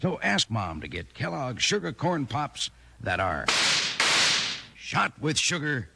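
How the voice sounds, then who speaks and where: A man with a gravelly voice doing a folksy, enthusiastic 1950s radio commercial aimed at children. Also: medium pitch, huge pitch swings, takes it slowly. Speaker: commercial pitchman. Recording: noisy old radio recording.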